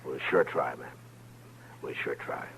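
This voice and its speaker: A male actor with an American accent playing a steady, courteous Old West lawman in a radio western, speaking in a measured, polite way. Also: a bass voice, vocal fry, exaggerated voice. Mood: calm, courteous. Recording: noisy vintage radio recording with hum.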